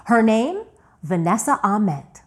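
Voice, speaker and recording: A woman's voice: medium pitch, sing-song, a little slow. A woman hosting and narrating a scripted radio documentary. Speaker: host narrator.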